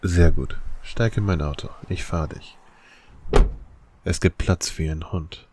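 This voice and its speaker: A man as a deep-voiced spy chauffeur. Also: a little slow. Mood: businesslike. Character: businesslike male spy agent disguised as chauffeur. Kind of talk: cinematic audio roleplay. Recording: clear ambient noise behind voice.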